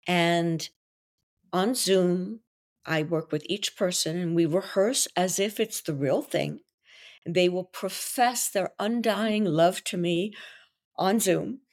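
A woman's voice: on the low side, slow pace. A woman from Belgium whose first language is French, a wedding coach and officiant explaining her work in a confident, theatrical podcast interview. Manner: expressive, theatrical delivery.

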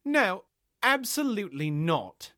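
A man voicing Chloe, an indignant, enraged woman who talks in a shrill, bossy, exasperated way. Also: sing-song, slow pace, sharp tone, dry recording, clean studio sound, full character voice. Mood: outraged.

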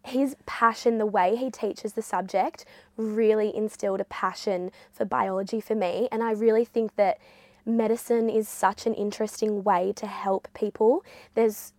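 A woman's voice: mid-range voice, steady tone, normal speed, no room sound. A young woman, a recent school graduate, speaking earnestly and fluently about her passion for justice as a podcast guest. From Australia. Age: young adult.

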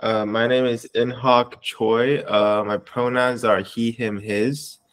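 A man in his twenties with an American accent, answering interview questions casually in a hesitant, halting way with many pauses. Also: mid-range voice, little pitch movement, fairly bright tone. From Southern California, United States. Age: 20s. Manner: hesitant, halting, pausing often between short phrases. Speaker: interviewee, community advocate.

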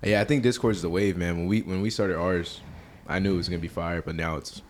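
Young male podcast host, lowish voice, slangy and hyped, light noise underneath. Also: steady tone, a little fast. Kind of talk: casual chat.

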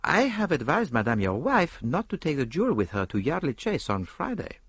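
French-accented gentleman detective, acted by a man, audiobook mystery, dry wit, mocking, courteous, highly animated intonation. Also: moderate pace, slightly rough, dry recording, full character voice. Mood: dry, resigned.

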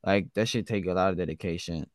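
Young raised male guest, relaxed slangy chat, low clear voice. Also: quick pace, no echo, clean studio sound. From Switzerland. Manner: laid-back slang, says "bro" often. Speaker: guest artist. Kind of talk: casual interview chat.

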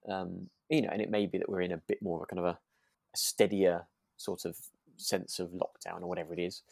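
Low, creaky-voiced man chatting tentatively. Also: level tone, fairly quick, a little mellow, dry recording. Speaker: podcast co-host. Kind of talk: relaxed chat discussing a radio drama.

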